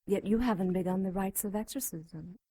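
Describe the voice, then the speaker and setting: A woman playing a seductive, mocking captive female demon in an audio drama, taunting with breathy whispers and moans. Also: medium pitch, brisk, warm tone, no room sound, close to an everyday voice. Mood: sultry mocking.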